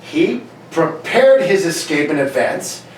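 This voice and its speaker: A woman's voice: low voice, big pitch swings, takes it slowly, a crowd in the background, full character voice. A woman playing an exasperated, blustering father who is a police inspector in an amateur radio play reading. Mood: realizing. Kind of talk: amateur live reading of a 1940s radio mystery. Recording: very echoey room, distant mic, faint noise.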